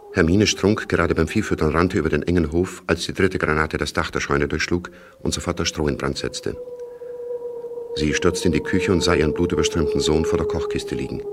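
A man reading scripted literary narration in a radio play, in a measured, detached, factual way. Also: extremely low pitch, heavily acted. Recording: clearly noisy background.

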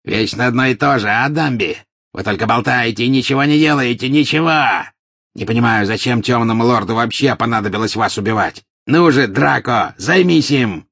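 A man voicing a harsh, brutal male dark wizard who barks orders. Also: lowish voice, steady tone, slow pace, no echo, clean studio sound, voice pushed up. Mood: angry. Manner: barking, commanding.

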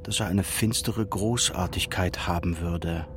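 A man narrating a literary radio drama with grave, measured, dramatic delivery. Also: low voice, fairly even intonation, noisy background.

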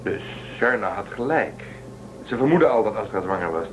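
Man voicing a low-voiced starship commander, stubborn, brushes things off, faint hiss. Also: sing-song, brisk, low-quality recording. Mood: dismissive. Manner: firm, dismissive, dutiful. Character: stubborn cautious male ship commander. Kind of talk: radio play acting.

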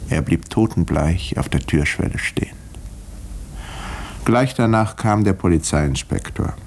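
An actor playing a village doctor who narrates a murder case in a precise, dramatic 1950s German radio play manner. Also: male voice, low-pitched, animated, unhurried, darkish tone, a little hiss or hum. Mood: measured, grave. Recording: vintage 1950s radio recording, roomy dialogue scenes.

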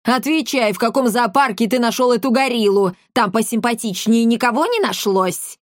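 A woman's voice: medium pitch, expressive, moderate pace, dry recording, clean studio sound, natural voice. A woman voicing a tiny old mother in a storybook, domineering, scolding and theatrically outraged. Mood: shrill outrage.

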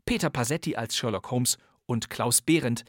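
A man's voice: mid-range voice, moderate pace, dry recording. A man hosting a crime radio-play podcast, presenting from a script in a wry, playful and chatty manner, recorded on a studio mic. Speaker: podcast host.

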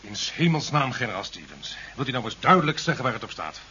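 An actor playing a formal, irritated and evasive president in a 1970s science fiction radio play. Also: male voice, medium pitch, big pitch swings, pushed and bright. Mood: exasperated. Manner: formal, dismissive, brisk. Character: evasive, irritated president worried about elections. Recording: dull, slightly hissy 1970s radio recording.

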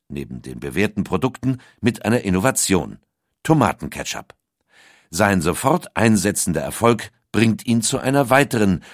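A man reading a scripted documentary audiobook in a measured, articulate storytelling manner. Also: on the low side, no echo, studio quality. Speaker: narrator.

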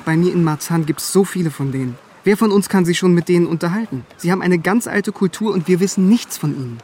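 An actor playing a middle-aged East German language lover, a dryly wry former conscript, in a radio drama with first-person narration. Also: male voice, high-pitched, fast, darkish tone, slight background noise, close to an everyday voice. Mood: polite, eager.

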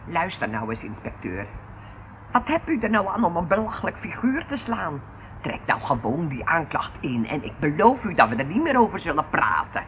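A woman's voice: sits low, very animated, normal speed, a little hiss or hum. An actress playing a proud, shady woman under arrest, dramatic and emphatic, in a Dutch radio play on a dull old recording. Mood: haughty, threatening.

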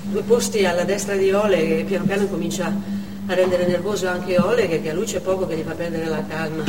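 An actress playing a shaken female hostage, telling her story in a halting dramatic stage monologue. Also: on the low side, steady tone, noisy background, natural voice. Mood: ominous. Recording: echoey hall, far mic, loud music.

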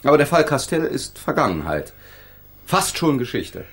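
A man's voice: mid-range voice, big pitch swings, normal speed, fairly bright tone, slightly rough. A young man playing a proud, reserved lawyer in a radio crime drama, speaking in a precise, pointed, articulate way.

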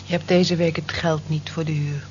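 A woman's voice: sits low, little pitch movement, normal speed, a little mellow, lightly acted. An actress playing a kind, long-suffering cleaning woman in a Dutch radio drama. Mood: resigned, reproachful. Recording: muffled, lightly noisy old radio recording.

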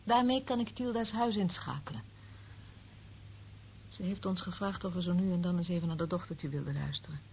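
An actress playing a scornful, prying woman, speaking in a hushed, dramatic way in a Dutch radio drama. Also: on the low side, brisk, gravelly. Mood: gossiping. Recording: dull, lo-fi old radio recording, faint noise.

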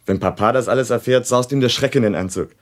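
An actor playing a young grown son, wry, laconic and amused, in a German radio drama. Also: male voice, medium pitch, fast talker, lightly acted. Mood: wry, placating. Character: wry, casual grown son of a quarrelling family.

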